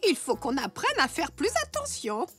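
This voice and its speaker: A woman voicing a kindly, encouraging older zebra grandmother in a French cartoon, gentle and expressive. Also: high voice, normal speed. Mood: approving.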